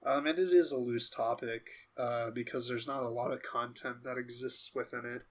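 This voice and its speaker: A man's voice: medium pitch, steady tone, normal speed. A man co-hosting a pop culture podcast, talking casually in an informal discussion. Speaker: podcast co-host.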